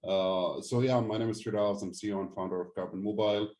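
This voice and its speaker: Low, mellow-voiced male founder, Syrian-born. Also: steady tone, a little hiss or hum. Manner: halting, non-native phrasing. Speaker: startup founder, guest. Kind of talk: conversational interview.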